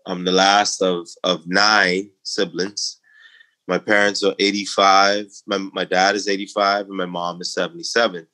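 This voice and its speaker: A middle-aged man from the Bahamas with a Bahamian accent, a guest in a conversational interview, talking emphatically and climbing in pitch when he makes a point. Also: little pitch movement, unhurried, sharp tone, poor audio. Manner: emphatic, rising to a preaching lilt when making a point.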